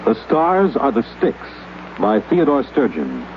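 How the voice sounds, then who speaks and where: A male announcer opening a show in a booming, theatrical, dramatic radio style. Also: wildly swooping pitch, measured pace. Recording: muffled old radio broadcast with heavy noise.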